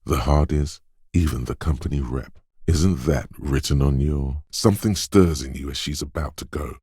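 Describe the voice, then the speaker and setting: Detective's inner instinct, video game narration, rumbling low male voice, measured, knowing, quick-witted, dramatic, dry studio sound. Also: darkish tone, breathy edge, no room sound, full character voice. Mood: tense, narrating. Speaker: voice actor. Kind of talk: second-person game narration.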